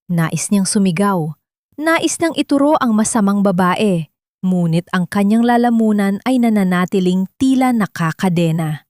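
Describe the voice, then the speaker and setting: A woman's voice: mid-range voice, darkish tone, no echo, studio quality. A woman with a Filipino accent reading a scripted story as a dramatic audiobook narrator.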